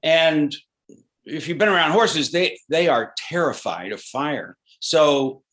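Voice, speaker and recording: An actor being interviewed, talking in a rambling, thoughtful way with many restarts. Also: male voice, fairly high pitch, expressive, takes it slowly, a bit bright. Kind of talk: casual interview.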